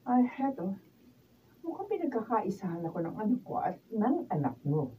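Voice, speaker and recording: Filipino radio drama mother, played by a woman: wary, fretful, very animated pitch, faint background noise. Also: normal speed, narrow, tinny audio, lightly acted. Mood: suspicious. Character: suspicious, anxious mother recovering from illness. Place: radio drama recording session. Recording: roomy far mic, faint noise.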